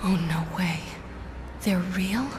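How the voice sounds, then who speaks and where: A woman's voice: on the low side, slow, heavy background noise. A woman voicing a sultry, sardonic half-vampire huntress in a video game, with a cool, dry delivery. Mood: awed. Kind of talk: video game cutscene acting. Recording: music behind the voice.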